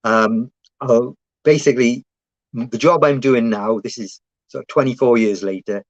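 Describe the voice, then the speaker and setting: A man's voice: mid-range voice, a little slow, dry recording, muffled recording. A man speaking on a panel about his police recruitment job, hesitant with many fillers and restarts. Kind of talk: panel talk.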